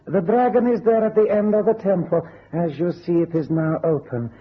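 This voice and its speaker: Sinister, unruffled temple owner, high husky voice, formal radio-mystery delivery. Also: male voice, fairly even intonation. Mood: smoothly polite. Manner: measured, formal, over-polite phrasing. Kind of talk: golden-age radio drama acting. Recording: muffled, husky old radio recording.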